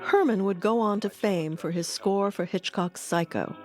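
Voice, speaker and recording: A woman reading scripted documentary narration in a measured, articulate manner. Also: mid-range voice, over music.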